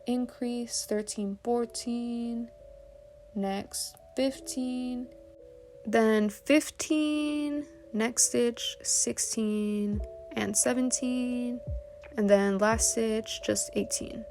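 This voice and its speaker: Woman, tutorial host, unhurried pace, soft dark tone, step-by-step instructions with counting. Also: on the high side, fairly even intonation. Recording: soft music bed under voice. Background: background music.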